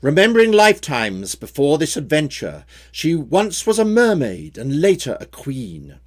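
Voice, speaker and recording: A man reading his own story poems in a measured, theatrical way with a rhyming cadence. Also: on the high side, sing-song. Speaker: poet reading own work. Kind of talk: dramatic poetry recitation.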